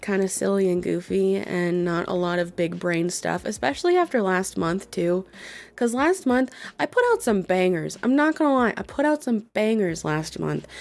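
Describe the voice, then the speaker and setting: A woman's voice: mid-range voice, a little mellow. Chatty young woman, sick and phlegmy. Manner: chatty, filler-heavy, giggly. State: sick and losing her voice, phlegmy. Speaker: YouTuber. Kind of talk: casual commentary vlog. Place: home desk setup.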